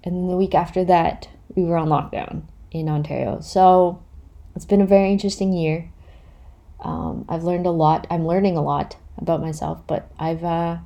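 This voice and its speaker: A thirty-year-old woman with a Canadian accent hosts a casual solo podcast. Her voice sits low and soft-edged, with plenty of vocal fry. She speaks candidly and reflectively, hesitating as she works out her answers. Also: steady tone, moderate pace, dull audio. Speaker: podcast host.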